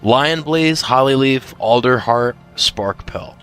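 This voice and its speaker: A man's voice: mid-range voice, takes it slowly, a bit bright, soft background music. A man voicing a teasing, slightly clueless warrior cat who casually reads out questions in an improvised fan Q&A. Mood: curious. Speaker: question reader and sidekick. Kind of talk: improvised character Q&A in casual chat.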